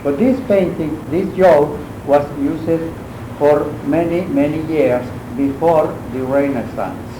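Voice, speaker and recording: A man with a Mexican accent, Spanish his first language, lectures on painting in English at a very slow, halting pace, pausing often to find his words. His voice sits a little high. The recording is echoey, with clear background noise from a university lecture room. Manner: halting, searching for English words with many pauses. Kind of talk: art lecture.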